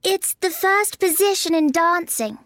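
Alice, a man doing a high girl's voice. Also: lively intonation, measured pace, fairly bright tone, no echo, studio quality, full character voice. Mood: earnest. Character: polite puzzled young girl Alice.

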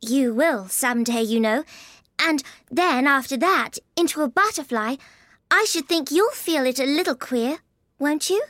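Male narrator as young girl, extremely high pitch, shy, polite, flustered, storybook character, close dry sound. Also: animated, measured pace, pushed and bright, no echo, full character voice. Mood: politely earnest. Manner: polite and hesitant.

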